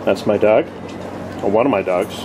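A man giving a casual video tour in short, halting, plainspoken phrases, recorded outdoors on a boat deck with a handheld camera. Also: fairly low pitch, over music. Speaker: host of a cooking vlog. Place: open deck of a boat on the water. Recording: outdoor ambient noise.

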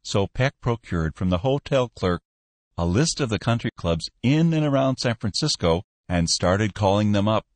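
A man narrating a story as an audiobook, with expressive, polished reading. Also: fairly low pitch, moderate pace, dry recording.

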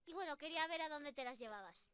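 A woman's voice: high voice, lively intonation, quick pace, fairly bright tone. A woman voicing a cute, cheeky young squirrel girl in an ASMR roleplay, playful and pleading as she talks straight to the listener. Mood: soft, content. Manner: playful, genki, pleading and apologetic.

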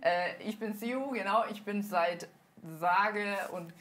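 A woman's voice: mid-range voice, fairly bright tone, slight background noise. Earnest woman hosting a studio show. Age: 30s. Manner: earnest, halting with many fillers. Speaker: host and dance team leader. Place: TV studio set. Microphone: lapel mic.

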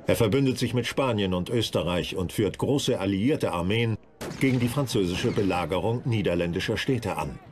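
A man's voice: lowish voice, lively intonation, moderate pace, a little hiss or hum. A man narrating a historical documentary from a script.

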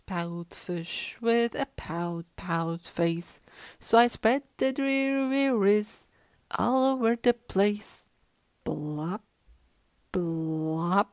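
A woman voicing a glum, resigned male fish in a rhyming children's storybook, with a mopey, drawn-out delivery. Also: mid-range voice. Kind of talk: children's storybook read-aloud.

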